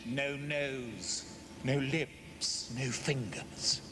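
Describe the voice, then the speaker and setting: An actor playing a formal, earnest gentleman officer, loyal and easily appalled. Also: mid-range voice, drawn-out words, in a large hall, background noise. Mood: shaken. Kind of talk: horror audio drama acting.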